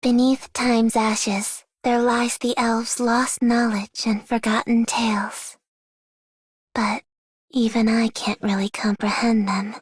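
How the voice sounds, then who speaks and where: A solemn, gentle game heroine, with a breathy, fairly high voice. The delivery is soft and scripted, recorded very dry. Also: female voice, level tone, slow, no room sound. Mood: pensive, weary. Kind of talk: scripted game character voice line.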